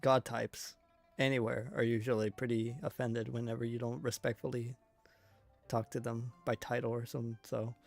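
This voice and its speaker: A man's voice: mid-range voice, flat delivery, normal speed, gravelly. A man livestreaming a game with casual, slangy, rambling commentary from a small bedroom. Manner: informal, slangy, rambling asides. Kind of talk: casual gameplay commentary, reading dialogue aloud. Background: game music.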